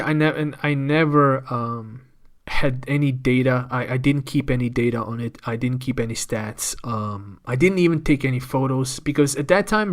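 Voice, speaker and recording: A man, speaking as a podcast guest and candidly telling a personal story, often repeating words as he thinks. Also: mid-range voice. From Croatia. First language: Croatian. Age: 30s.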